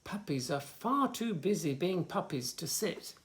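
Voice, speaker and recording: A man's voice: fairly high pitch, very animated, a little slow, slight background noise. A man voicing a flustered but patient dog who explains himself in exclamations in a children's storybook. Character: flustered, patient dog looking after many unruly puppies.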